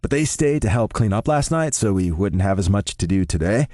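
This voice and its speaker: A man's voice: lowish voice, animated, brisk, dark tone, no echo, studio quality, close to an everyday voice. A man voicing a blunt, teasing young man in an audiobook. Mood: dry. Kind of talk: audiobook character voice.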